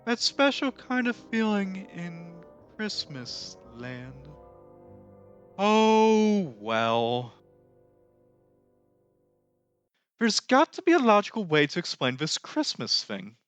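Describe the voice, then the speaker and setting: A man playing an excitable skeleton showman from a musical, high-voiced, grandiose and dramatic, moving between song and speech. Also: slow, no room sound, highs cut off, soft background music, exaggerated voice. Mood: wistful. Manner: grandiose, sweeping, theatrical delivery. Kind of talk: theatrical musical performance, half sung and half spoken.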